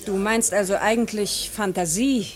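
A woman's voice: medium pitch, fairly even intonation, normal speed, natural voice. An actress playing a sober, concerned woman with a down-to-earth, questioning manner in a German radio play. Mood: puzzled. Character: sober, concerned woman, solitary artist's daughter.

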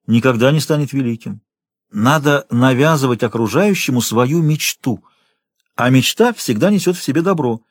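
A male audiobook narrator reads literary prose in a mid-pitched voice. His delivery is expressive and dramatized, moving between reflection and dialogue. The sound is very dry, with no room around it. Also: slightly rough, no room sound.

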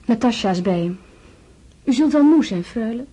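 High, mellow, obliging young niece actress. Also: female voice, expressive, normal speed, a little hiss or hum, close to an everyday voice. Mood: polite. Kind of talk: Dutch radio play acting.

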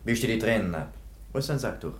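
An actor playing a thoughtful, observant police commissioner of the nineteenth century in a radio drama, measured and musing. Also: male voice, lowish voice, animated, close to an everyday voice. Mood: observant, concerned. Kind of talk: German radio drama acting.

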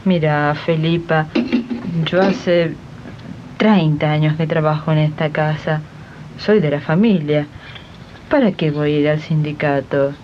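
A woman's voice: unhurried, long pauses, noisy background. A woman playing a proud, naive, ageing housemaid in a radio drama, with a homely manner and an Argentine accent. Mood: stubborn, dismissive. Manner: dismissive, homely, indignant. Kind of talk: 1980s amateur radio drama acting. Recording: dull, rumbling archive radio tape.

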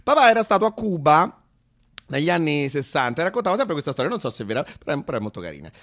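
A male storyteller, delivering a theatrical monologue. His pitch moves widely and he drops colloquial asides between dramatic, emphatic phrases. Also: sing-song, very fast, fairly bright tone. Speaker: narrator and solo storyteller.

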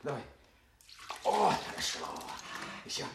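An actor playing a polite but persistent military investigator, speaking casually in naturalistic radio-play dialogue. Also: male voice, unhurried, natural voice. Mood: casual, probing. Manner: casual politeness, probing, hesitant small fillers. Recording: noisy radio drama scene.

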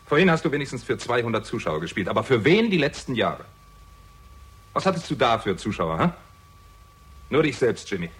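An actor playing a sardonic, hot-tempered hard-boiled amateur sleuth in a 1960s German radio crime drama, with dry, biting delivery. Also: male voice, mid-range voice, very animated, a little fast, pauses often, fairly bright tone, husky, exaggerated voice. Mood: angry, accusing. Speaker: lead actor and first-person narrator.

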